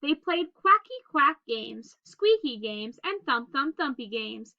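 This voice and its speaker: A woman reading a children's story aloud in an expressive, simple storytelling manner. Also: high-pitched, slow, creaky, muffled recording. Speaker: read-aloud host reading a picture book.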